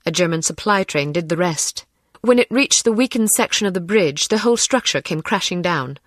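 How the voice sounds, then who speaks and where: An actress playing a self-possessed Scottish secret agent in a video game, cool, articulate and wry, with a Scottish accent. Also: female voice, fairly low pitch, expressive, moderate pace, dry recording, clean studio sound, lightly acted. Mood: matter-of-fact.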